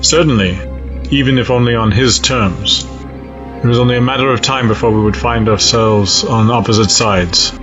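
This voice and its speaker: A man playing a cold, resolute alien space-fleet admiral in a sci-fi audio drama, speaking in formal, measured, stiff diction. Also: medium pitch, highs cut off, over music, natural voice. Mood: grave, resolute.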